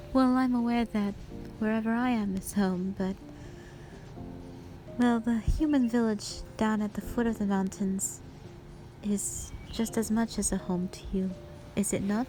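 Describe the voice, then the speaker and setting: A woman playing a gentle, devoted dragon wife in a romantic audio roleplay, speaking tenderly in formal, old-fashioned phrasing. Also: medium pitch, steady tone, measured pace, long pauses, natural voice, poor audio. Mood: tender, happy. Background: music.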